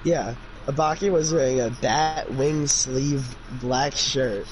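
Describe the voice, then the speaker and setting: A man's voice: mid-range voice, fairly even intonation, takes it slowly, noisy background. A man doing a casual amateur fanfiction reading, with informal, opinionated commentary. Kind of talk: casual amateur read-aloud with chatty commentary.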